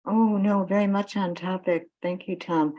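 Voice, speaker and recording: A woman's voice: medium pitch, steady tone, moderate pace, muffled recording. A woman giving encouraging, appreciative informal remarks as a guest speaker in an online meeting. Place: remote computer call. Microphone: computer video call.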